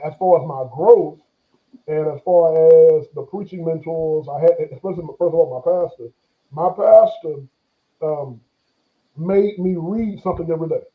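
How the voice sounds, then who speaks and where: A man's voice: on the high side, normal speed. Man in his forties, tinny phone-line sound. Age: 40s. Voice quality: occasional stammer. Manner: reflective, hesitant, many fillers and restarts. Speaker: pastor and interview guest. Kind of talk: conversational interview.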